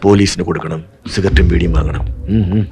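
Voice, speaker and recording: An actor dubbing a gruff, greedy male convict who talks in a bossy, sarcastic way. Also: sits low, steady tone, fast, warm tone, faint music behind.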